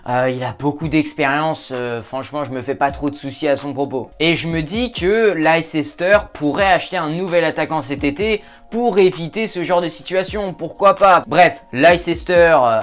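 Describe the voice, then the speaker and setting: A man hosting a casual football talk show, chatting and joking with viewers, with frequent hesitations. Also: on the high side. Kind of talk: casual football commentary vlog.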